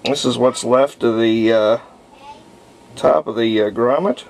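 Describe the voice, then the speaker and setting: An American man in his fifties narrates hands-on repair work unscripted, thinking aloud in a halting way as he goes. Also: medium pitch. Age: 50s. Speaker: hobbyist radio restorer. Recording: clear background noise.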